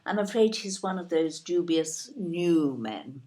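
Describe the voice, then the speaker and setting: A woman's voice: on the low side, expressive, measured pace, warm tone. A woman with a British accent playing a snobbish, self-absorbed older wife and mother in an audio play, genteel, fussy and disparaging. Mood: disdainful.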